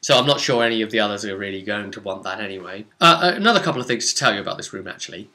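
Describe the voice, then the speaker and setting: A man's voice: lowish voice, lively intonation, racing, bright, forceful tone. A man casually recapping a tabletop role-playing session as game master, explaining conversationally with dramatic asides.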